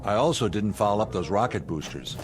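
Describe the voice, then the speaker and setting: A male actor as a low-voiced spaceship commander in a science fiction radio play. He is gruff, practical and impatient, and talks in firm, clipped commands over prominent background music. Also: animated, brisk, lightly acted. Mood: irritated, stern. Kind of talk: audio drama acting.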